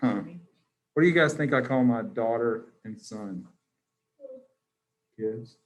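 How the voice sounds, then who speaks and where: A man's voice: mid-range voice, slow pace. A man teaching a class over a video call, joking and teasing his students, with many restarts.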